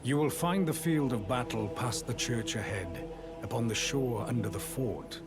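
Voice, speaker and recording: A man's voice: mid-range voice, moderate pace, lightly acted. A man voicing a grand old master of ceremonies in a video game, declaiming ceremoniously to warriors. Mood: grave. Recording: loud music behind the voice.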